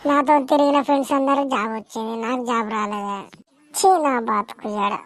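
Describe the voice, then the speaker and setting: A woman's voice: a little high, brisk, noisy background, close to an everyday voice. A woman voicing a whiny, crude and defiant young village son in an amateur cartoon comedy, with a Telangana Telugu accent. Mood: frustrated cursing.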